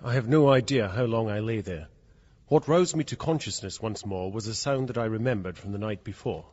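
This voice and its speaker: A man's voice: on the low side, a little fast, lightly acted. An actor playing a terrified, trapped man who recounts his ordeal in suspenseful, theatrical audio drama narration. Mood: weak, grim. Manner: suspenseful, theatrical, swinging from hushed to frantic. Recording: dull, lo-fi audio with loud background noise.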